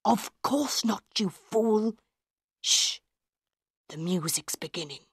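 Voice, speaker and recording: Brief: nervous male director, hushed whisper, slow pace, read by a woman. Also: mid-range voice, expressive, breathy edge, no room sound, studio quality, full character voice. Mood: tense whisper. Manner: whispered, tense. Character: jittery middle-aged male theatre director.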